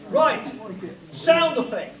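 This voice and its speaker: A man's voice: very high voice, lively intonation, slow, crowd noise. A man giving theatrical, jokey narration as announcer of a live spoof radio show. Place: convention hall before a live audience. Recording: echoey distant mic, noisy room.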